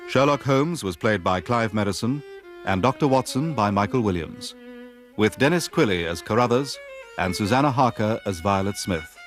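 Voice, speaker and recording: A man's voice: fairly low pitch, lively intonation, normal speed. Formal radio announcer, man, faint music. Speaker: continuity announcer. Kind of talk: scripted radio credits reading.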